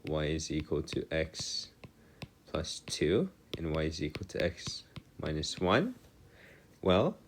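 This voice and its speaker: A man giving an informal maths lecture as a tutor, explaining in formal wording and often correcting himself as he goes. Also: low-pitched. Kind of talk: informal lecture working through equations.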